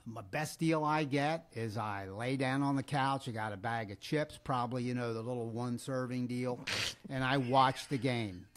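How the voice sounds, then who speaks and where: A man's voice: medium pitch, moderate pace. A man with an American accent co-hosting a podcast, chatting casually and asking teasing questions. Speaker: podcast co-host.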